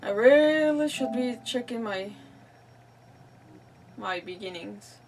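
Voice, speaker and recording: A woman's voice: fairly high pitch, animated, slow, darkish tone, slight background noise. A woman streamer giving casual gameplay commentary in a rambling, self-deprecating way. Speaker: gaming streamer. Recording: slightly distant mic, faint music behind.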